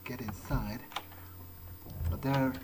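A man thinking aloud in character as he plays a roleplaying game, musing haltingly to himself. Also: mid-range voice, fairly even intonation, heavy background noise.

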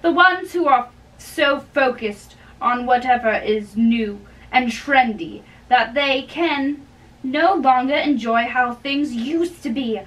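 Young woman, amateur play reader, slow and halting, bright forceful voice, picked up from across a bedroom. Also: high-pitched. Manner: halting, stumbles over words. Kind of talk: dramatic reading of a play in modern English. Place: small bedroom, indoors. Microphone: far camera. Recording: distant microphone in a room.

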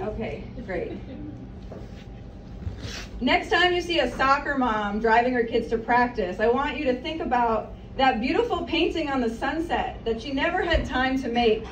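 Chatty woman comic in an echoey café. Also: high-pitched. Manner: chatty, self-deprecating, rambling asides. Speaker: stand-up comic. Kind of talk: stand-up comedy set. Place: small café stage with screen. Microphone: mic on stand, filmed from across the room. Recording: roomy, distant, noisy café recording.

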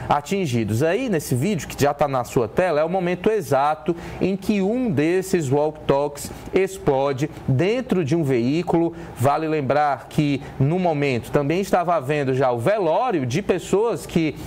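A man's voice: a little high, animated, measured pace, a little mellow, slight background noise. A man in his thirties with a Brazilian accent presenting live news from a studio, explaining the story as he goes. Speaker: news anchor. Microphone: lapel mic.

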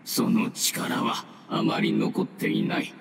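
An actor playing an aged, dying mushroom spirit in a game, solemn and humble, with grave, halting, old-fashioned elder speech. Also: male voice, background noise, close to an everyday voice. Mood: grave, explaining. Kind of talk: scripted game dialogue. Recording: roomy echo, distant, under loud music.

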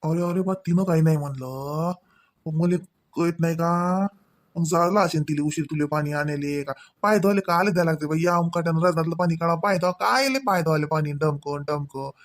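A man's voice: on the high side, fairly quick, close to an everyday voice. A man playing a fair-minded, chiding elderly village father-in-law in a comedy cartoon, speaking colloquially with a Varhadi Marathi accent and questioning tags.

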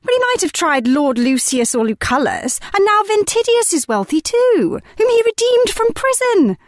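Woman playing a high, petulant, self-important lord. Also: very animated, normal speed, no room sound, clean studio sound. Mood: affronted. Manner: theatrical, indignant. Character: petulant, self-important Athenian lord, offended false friend. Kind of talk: unrehearsed Shakespeare play reading.

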